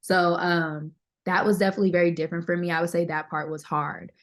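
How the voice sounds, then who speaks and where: Lady with a lowish voice, candid interview guest, chatty, repeats stuff for emphasis. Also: little pitch movement, quick pace. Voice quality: deeper voice. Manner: candid, conversational, emphatic repetition. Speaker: interview guest, singer-songwriter. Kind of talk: casual chat.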